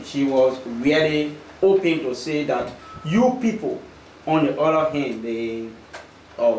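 A man's voice: mid-range voice, a little mellow. Guy reporter, medium voice, lots of uhs, roomy echo and a bit of noise behind. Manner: hesitant, many uh fillers. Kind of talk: press question. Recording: echoey room with light background noise.

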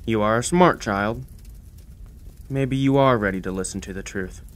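A man's voice: lowish voice, moderate pace, lightly acted. Man playing a grumpy old blacksmith god. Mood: grave warning. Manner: grumbling, rambling about old grievances. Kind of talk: audio drama acting.

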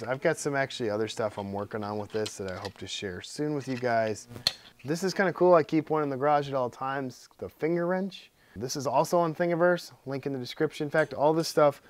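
A man in his thirties with a cold, casually showing off 3D-printed gifts in his home workshop, rambling with lots of ums. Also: medium pitch. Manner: relaxed, rambling, with many ums and uhs. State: getting hoarse from a cold. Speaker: 3D printing YouTuber. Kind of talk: casual show-and-tell.

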